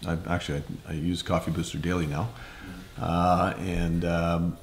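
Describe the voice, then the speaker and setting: A man in his fifties with a Canadian accent, telling his story in a casual interview in halting, hesitant phrases. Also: sits low, level tone, a little hiss or hum. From Ottawa, Canada. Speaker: investor and mentor being interviewed. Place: home kitchen, indoors.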